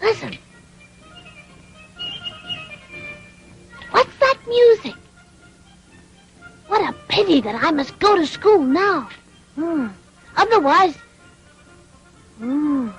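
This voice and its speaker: Cartoon puppet boy, piping high, animated pitch, childlike, eager, naive. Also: slow pace, pauses often, faint music behind, full character voice, low-quality recording. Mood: wistful, scheming. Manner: childlike, theatrical, earnest. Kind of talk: dubbed cartoon acting.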